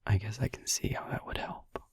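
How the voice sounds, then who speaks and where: A man playing a gentle, caring vampire boyfriend in a soft, halting, breathy whisper for a sleep-aid ASMR roleplay, close to the microphone. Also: dry recording, close to an everyday voice. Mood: musing, soft. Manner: soft, halting, soothing, casual. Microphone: close, dry microphone.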